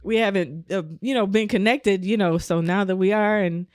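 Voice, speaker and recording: A woman from Omaha with a naturally raspy voice, talking casually as a guest in a podcast interview with a laid-back flow. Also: mid-range voice, normal speed, dry recording, studio quality. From Omaha, United States. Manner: laid-back, frequent "you know" and laughs. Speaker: guest musician.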